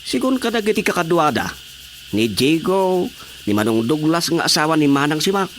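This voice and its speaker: A man's voice: a little high, very animated, moderate pace, a bit bright, a little hiss or hum. A man with an Ilocano accent acting a village watchman who makes reports in a radio drama comedy. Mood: dutiful. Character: male village watchman excitedly reporting to his superiors.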